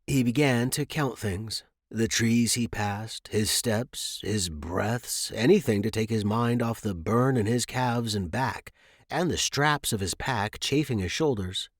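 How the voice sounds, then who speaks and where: A man's voice: lowish voice, a little slow, dry recording, studio quality. A man reading a scripted adventure story as its narrator.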